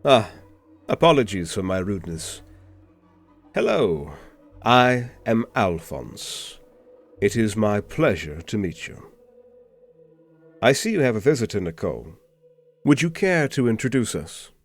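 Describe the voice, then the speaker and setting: A man plays a distinguished gentleman scholar in a fantasy audio drama. His voice is gravelly and creaky, with lively rises and falls. He is formal and courteous in every phrase. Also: on the low side, soft background music, exaggerated voice. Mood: formal, polite. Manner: formal, measured, polite.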